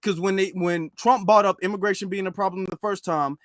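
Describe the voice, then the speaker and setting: Guy streaming political commentary, high voice, really dry close sound, repeats stuff for emphasis. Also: steady tone, a little fast, a bit bright, no echo. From United States. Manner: emphatic, repeats phrases for stress. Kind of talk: informal political commentary to an online audience.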